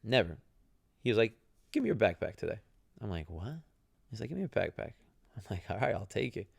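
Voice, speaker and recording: Low gravelly-voiced man telling stories. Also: sing-song, fairly quick, no room sound, clean studio sound. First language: Italian. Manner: conversational, many fillers and tag questions. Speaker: podcast host, former soccer player. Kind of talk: casual storytelling.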